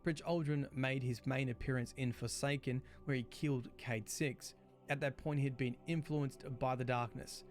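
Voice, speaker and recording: A man reading scripted explainer narration in a clear, expository way. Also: medium pitch, steady tone, moderate pace. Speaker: lore video narrator. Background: background music.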